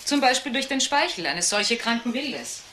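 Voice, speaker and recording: Earnest, high-voiced woman vet. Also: sing-song, normal speed, background noise. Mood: earnest warning. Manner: instructive, insistent. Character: earnest, cautioning woman veterinarian.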